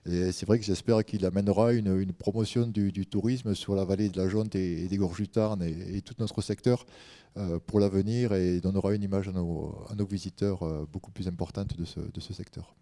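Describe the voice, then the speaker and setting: A man's voice: sits low, fairly even intonation, moderate pace, warm tone. A man giving spontaneous remarks as a local official on a panel, in hesitant phrases padded with small fillers.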